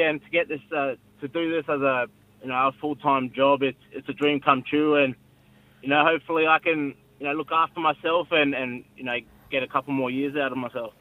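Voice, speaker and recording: A young man from Brisbane with an Australian accent, a rugby league player chatting casually as a guest on a radio show, relaxed and modest with lots of fillers. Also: a little high, a little fast, dry recording, narrow, tinny audio.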